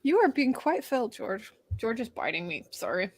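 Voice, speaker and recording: A woman's voice: a little high, very animated, normal speed, slightly rough. Chatty woman hosting a book club. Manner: chatty and upbeat, with frequent "um"s. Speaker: host of a book club stream. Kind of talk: casual online book discussion. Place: home room with a cat nearby.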